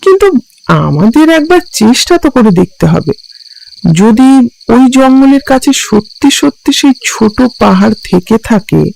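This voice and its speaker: A man plays a determined explorer in an audio adventure drama. The voice is very high and creaky, with lively swings in pitch as it urges the party onward. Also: normal speed, heavily acted, low-quality recording. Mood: determined, hopeful. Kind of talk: dramatised audio story dialogue.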